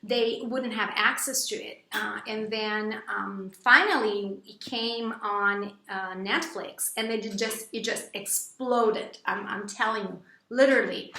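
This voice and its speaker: Chatty Brazilian woman, echoey room. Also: slight background noise. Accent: Brazilian Portuguese. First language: Portuguese. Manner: chatty, full of fillers and restarts, bursts into high excited quotes. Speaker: English teacher and vlogger. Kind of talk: casual chat to camera.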